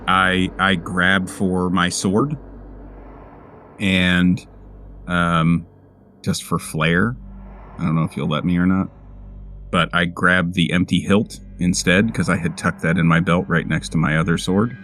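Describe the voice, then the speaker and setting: A man improvising first-person narration as a player in a tabletop game, halting and deliberate with dramatic pauses. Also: low voice, steady tone, fairly bright tone, slight background noise.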